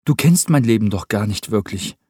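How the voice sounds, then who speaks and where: A man voicing a friendly, caring young man in an audiobook, with an earnest, warmly insistent manner. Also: lowish voice, expressive, moderate pace, husky, no echo, clean studio sound, voice pushed up.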